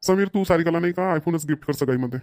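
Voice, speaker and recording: Man acting an approving village father, quick-spoken. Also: a little high, darkish tone, close to an everyday voice. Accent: Vidarbha Marathi. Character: approving middle-aged village father, easygoing head of household. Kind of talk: amateur cartoon dialogue.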